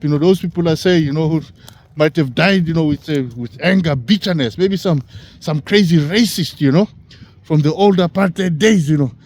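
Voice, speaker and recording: A man in his sixties from Africa, talking in a halting, rambling way, full of "you know" fillers. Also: a little high, darkish tone. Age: 60s. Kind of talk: casual rambling monologue.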